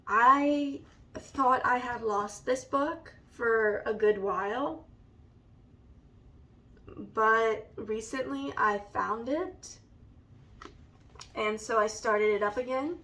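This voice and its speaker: A woman with a raspy, allergy-hoarse voice, slowly and hesitantly showing a scrapbook in a casual vlog. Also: on the high side, sharp tone, recorded from a distance. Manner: slow and hesitant, many ums. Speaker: vlogger. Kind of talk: casual show-and-tell vlog.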